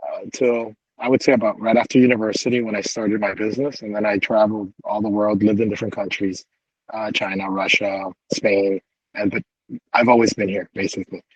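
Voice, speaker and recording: A man's voice: fairly low pitch, little pitch movement, fairly quick, breathy edge, no room sound, dull audio. A man born in Cuba and raised in South Florida, a realtor answering interview questions in an easygoing way, often restarting his phrases. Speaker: realtor guest.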